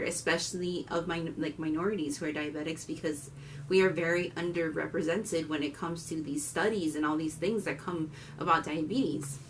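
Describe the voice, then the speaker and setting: A woman in her twenties from Miami with a Miami accent, talking candidly to camera on her vlog with loud bursts. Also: lowish voice, far from the mic, slight background noise, low-quality recording. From Miami, Florida. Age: 20s. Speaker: vlogger. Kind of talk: casual talk to camera.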